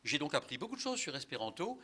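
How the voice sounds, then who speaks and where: An older man giving a conference lecture, animated, ironic and polemical, with many asides and fillers. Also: on the high side, fast talker, slightly rough. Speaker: conference speaker, linguist. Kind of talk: lively lecture to an audience. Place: conference hall.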